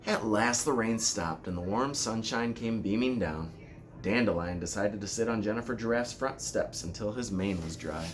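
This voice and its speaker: A man reading a children's storybook aloud like a school principal, with deliberate, expressive storytelling. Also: fairly low pitch, noisy background.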